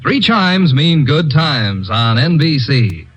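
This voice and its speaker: A male radio announcer reading closing credits in a measured, formal way. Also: a little high, very animated, a bit bright. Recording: dull old radio broadcast with music. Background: music.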